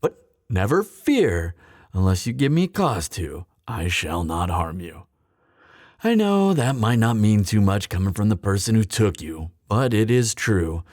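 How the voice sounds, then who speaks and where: A bitter, mocking male thief played by a man speaks in a close, dry recording. His delivery swings widely in pitch, sardonic and theatrical. It is a fantasy roleplay spoken straight to the listener, hardened by grief. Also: very animated, a little mellow, dry recording. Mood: mocking, controlled. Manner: sardonic, theatrical, deliberate pauses. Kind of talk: dramatic fantasy audio roleplay, addressed to listener.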